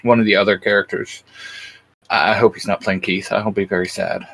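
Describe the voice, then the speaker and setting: A man's voice: lowish voice, flat delivery, brisk, creaky, a bit bright. A man co-hosting a YouTube show, talking casually about his favourites. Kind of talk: casual chat about cartoons.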